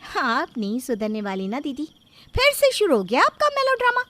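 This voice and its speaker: A woman's voice: high-pitched, huge pitch swings, normal speed, bright, forceful tone, pitched above the performer's own voice, heavily acted. A woman voicing a rude, impatient young sister-in-law in a moral story, scolding her elder. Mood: exasperated. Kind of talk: Hindi moral story character dialogue.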